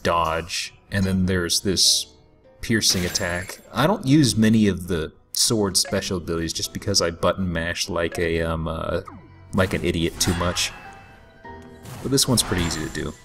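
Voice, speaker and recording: A man giving casual, joking let's play commentary in a rambling, halting way. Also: deep voice, a bit bright. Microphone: voice-over microphone over game audio. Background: game music.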